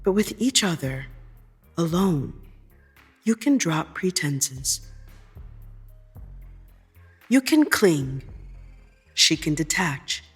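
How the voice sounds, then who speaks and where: A woman narrating scripted second-person fiction in a measured, deliberate storytelling manner. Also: low-pitched, big pitch swings, breathy edge, faint music behind.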